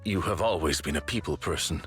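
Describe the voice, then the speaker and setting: A man's voice: rumbling low, brisk, fairly bright tone. A man voicing a formal, composed butler figure in a game cutscene, polite and precise. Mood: measured.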